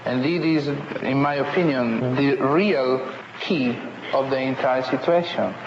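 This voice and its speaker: A man's voice: mid-range voice, unhurried, dull audio. A man with an Italian accent, an opera singer earnestly explaining vocal technique in an interview. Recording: echoey hall with noise.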